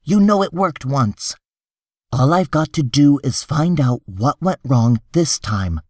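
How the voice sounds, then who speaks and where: A man's voice: medium pitch, animated, unhurried, darkish tone, no echo, clean studio sound. A man voicing an irritable, defensive official in a story reading, insistent and exasperated. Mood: urgent, reassuring. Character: tense, defensive, irritable male official making excuses.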